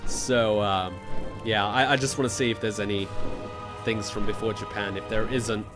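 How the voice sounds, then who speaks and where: A man's voice: on the low side, steady tone, moderate pace. Rambling self-mocking man. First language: Serbian. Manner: rambling, self-deprecating, swears casually. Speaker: gaming guide creator. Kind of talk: casual gameplay commentary. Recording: music playing behind voice.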